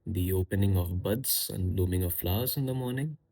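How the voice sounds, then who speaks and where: A man hosting a solo podcast, speaking in a musing, unhurried way with pauses and fillers; English is not his first language. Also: low voice, creaky, mellow, breathy edge. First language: a language other than English and Hindi. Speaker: podcast host. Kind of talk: reflective solo podcast monologue.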